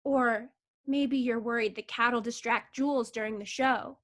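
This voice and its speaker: A woman's voice: fairly high pitch, fairly even intonation, measured pace, fairly bright tone. A woman playing a brash, mocking circus juggler in a staged play reading, with slangy teasing talk. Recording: video call.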